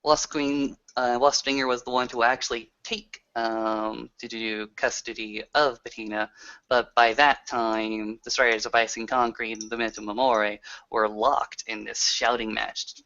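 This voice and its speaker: A man playing an earnest, reflective werewolf in an improvised roleplay, talking in a deliberate, halting way. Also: medium pitch, fairly even intonation, sharp tone. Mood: explaining, troubled. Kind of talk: improvised in-character roleplay conversation. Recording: dull lo-fi voice recording.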